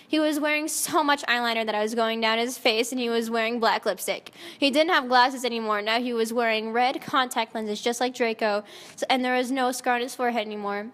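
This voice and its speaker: A woman's voice: on the high side, fairly even intonation, fairly quick, a bit bright. A woman narrating fan fiction in a mock-dramatic style, often close to giggling at the text. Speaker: narrator and reader. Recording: long echoing reverb.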